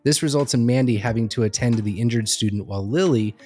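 A man's voice: medium pitch, fast talker, darkish tone, slightly rough, faint music behind. A man narrating a training story in the second person, as a storyteller setting a scene.

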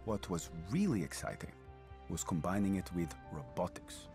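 An actor playing an enthusiastic male robotics engineer in a conversational animated-film interview. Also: low voice, normal speed. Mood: excited. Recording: music under the voice.

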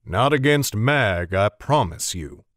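A man's voice: fairly low pitch, expressive, slow pace, a bit bright, dry recording, clean studio sound, voice pushed down. A man voicing a wry older bowyer who speaks with a smirking drawl. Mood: grave.

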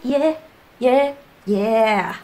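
A woman's voice: fairly high pitch, extremely slow, darkish tone. Chatty young Indonesian woman vlogging. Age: young adult. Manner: chatty, rambling, self-deprecating. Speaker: channel host. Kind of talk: casual vlog talk to camera. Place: small bedroom, indoors. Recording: roomy echo with music behind.

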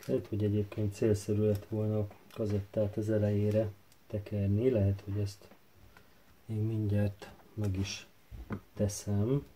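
A man with a very dark, soft-edged voice talks through a DIY job at his workbench. His delivery is flat and halting, as he thinks aloud while working with his hands. There is faint noise behind him. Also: lowish voice, rough recording. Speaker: DIY tutorial host. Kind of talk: casual hands-on tutorial narration. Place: indoor workbench. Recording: faint background noise with handling clicks.

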